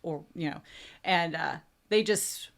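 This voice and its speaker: A woman's voice: lowish voice, slow pace, gravelly. A woman from the American South with a Southern accent, hosting a casual chat and talking in a chatty, laughing way. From Southern United States. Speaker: host.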